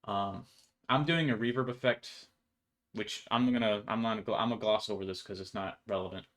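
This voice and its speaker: A man's voice: fairly low pitch, steady tone, a little fast, a bit bright. A young man giving an informal code walkthrough in an offhand, slangy way. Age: young adult. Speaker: programmer presenting his project. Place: indoor room at home.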